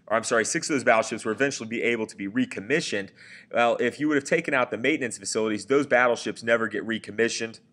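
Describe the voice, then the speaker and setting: A man with a somewhat low voice talks through a slideshow lecture in a loose, conversational way. He pauses on fillers and corrects himself as he goes, like a teacher explaining to a class. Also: a bit bright. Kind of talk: recorded slideshow history lecture.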